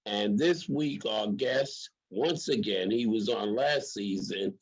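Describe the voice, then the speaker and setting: A man's voice: on the low side, slow pace, breathy edge. A man hosting an interview program in casual talk, with emphatic, repetitive rhetorical phrasing. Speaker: program host.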